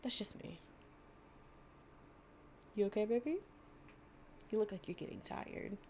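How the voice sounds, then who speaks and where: A woman's voice: mid-range voice, animated, measured pace, darkish tone, a little hiss or hum. A woman performing a storytime audio roleplay, reading fables and chatting informally and playfully. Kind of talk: gentle storytime reading with improvised casual chat.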